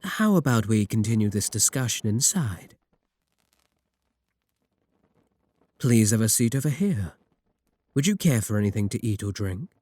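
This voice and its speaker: A man playing a courteous, manipulative warlock shopkeeper in a roleplay audio, coaxing and flattering his guest with polite, unhurried talk. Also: on the low side, dry recording. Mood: courteous, welcoming. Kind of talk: second-person roleplay audio acting.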